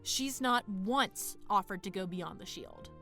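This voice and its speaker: A woman's voice: mid-range voice, animated, measured pace, vocal fry, pushed and bright, faint music behind, full character voice. A woman playing a blunt, impatient female dragon warrior in an audio drama. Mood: accusing.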